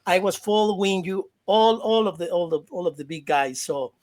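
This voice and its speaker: A man in his forties with a Mexican Spanish accent, a native Spanish speaker, talking as a guest on a video call. His voice sits a touch high for a man. He stumbles, restarts and repeats words, and laughs easily between phrases. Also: moderate pace, a little mellow. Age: 40s. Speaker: interview guest. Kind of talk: casual interview.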